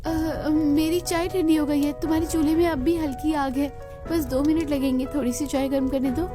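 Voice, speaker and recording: Young, high-voiced, plaintive daughter-in-law, voiced by a woman. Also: fairly even intonation, normal speed, over music, close to an everyday voice. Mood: hesitant request. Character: young daughter-in-law, tired, gentle, dutiful tea-garden worker. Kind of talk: Hindi cartoon story dialogue.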